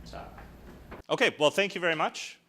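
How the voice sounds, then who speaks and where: A man's voice: on the high side, animated, moderate pace, heavy background noise. A man on a panel answering audience questions about his research, thinking aloud with many fillers and restarts.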